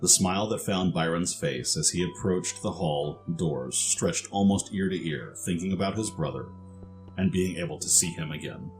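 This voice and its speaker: A man with a very low voice reads scripted story narration. He serves as the narrator of an audio drama, with soft music underneath. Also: normal speed, rough recording.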